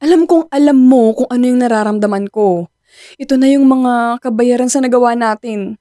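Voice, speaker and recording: A woman's voice: on the high side, moderate pace, mellow, dry recording, clean studio sound, lightly acted. An actress with a Filipino accent playing an anxious, guilt-ridden young woman in radio drama dialogue, fretful and pleading.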